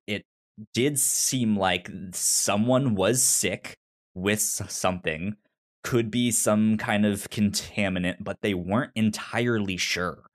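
A man talking casually as a podcast co-host, recapping a story with frequent stammers and repeated false starts. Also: on the low side. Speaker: podcast co-host discussing an audio drama.

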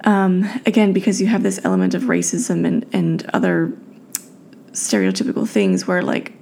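A young woman talks through a solo podcast late at night, her voice raspy and full of deep vocal fry. She is reflective and searching, pausing on fillers and restarting her sentences, while her pitch moves freely. Her tone is warm and dark. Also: very animated. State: tired, raspy voice. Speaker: podcast host.

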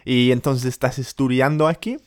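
A woman's voice: rumbling low, steady tone, moderate pace, darkish tone. A woman hosting a casual interview podcast, asking short questions and laughing often. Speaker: podcast host.

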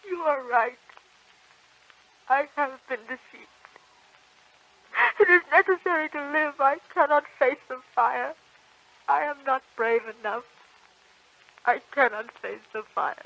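An actress playing a devout young peasant heroine, anguished yet defiant, in a fervent old-time radio drama style. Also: female voice, sits high, a little slow, vocal fry, long pauses, fairly bright tone, full character voice. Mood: broken, resigned. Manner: fervent, theatrical. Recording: dull old radio recording.

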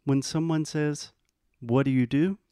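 An American man teaching English in a bilingual lesson, explaining patiently and modeling phrases slowly. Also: fairly high pitch, steady tone, dark tone, smooth, no room sound, clean studio sound. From United States.

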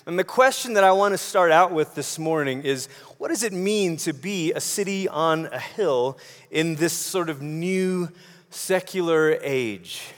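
Male preacher from Malaysia, high register, conversational sermon with repeated phrases, big echoing hall sound. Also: lively intonation, unhurried. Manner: conversational, rhetorical questions, repeats phrases for emphasis. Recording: long hall reverb.

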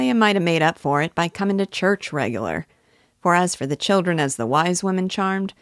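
A woman's voice: sits low, no echo, studio quality, close to an everyday voice. A woman voicing an old male English village busybody with a rural dialect, self-important and neighborly, in a storybook reading. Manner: rambling, opinionated, advice-giving.

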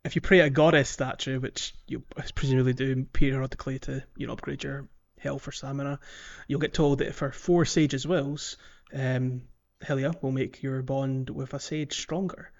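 A man's voice: medium pitch, level tone, normal speed, creaky, few pauses, dull audio. A man hosting a podcast, talking casually about video games in a chatty, hesitant, rambling way. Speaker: podcast host. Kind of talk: casual video game discussion.

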